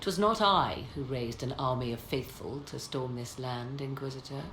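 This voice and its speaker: An actress playing an aloof, sardonic adviser in a fantasy video game, with arch, archaic phrasing. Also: female voice, extremely low pitch, moderate pace, fairly bright tone. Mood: arch, knowing. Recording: echoey, ambient noise behind.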